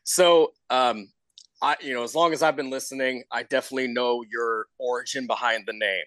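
A man's voice: mid-range voice, fairly even intonation, a little fast, a bit bright, dry recording. An American man hosting a casual interview, informal and encouraging in how he asks questions. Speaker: interviewer, show co-host.

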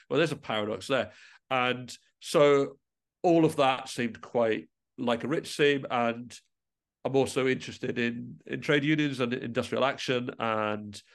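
Male podcast guest from England, average pitch, reflective and discursive, often rephrasing himself. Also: fairly bright tone. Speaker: interview guest, musician. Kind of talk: casual reflective interview talk.

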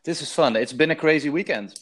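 A man's voice: fairly high pitch, a little fast. Hesitant Dutch-accented man. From Netherlands. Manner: hesitant, many restarts and repeated words. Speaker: guest, sports fan. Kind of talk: casual interview.

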